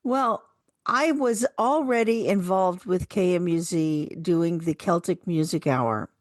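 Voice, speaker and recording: A woman's voice: on the low side, measured pace, dry recording, studio quality. A woman co-hosting a radio show, speaking in a casual, chatty style. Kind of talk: casual radio chat with some program introductions.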